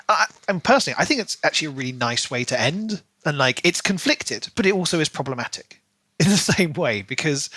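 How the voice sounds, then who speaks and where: A man with a bright, forward voice in a dry, close recording. He talks casually as a podcast co-host, picking things apart analytically and giving his opinions. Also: mid-range voice, no room sound. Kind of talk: casual book discussion chat.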